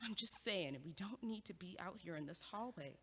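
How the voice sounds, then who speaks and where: An actress playing a sharp-tongued, impatient young university student who argues with quick, sarcastic wit in an audio drama. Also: female voice, on the low side, lively intonation, husky, long reverb tail. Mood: calm. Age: young adult. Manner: quick-witted, sarcastic, argumentative.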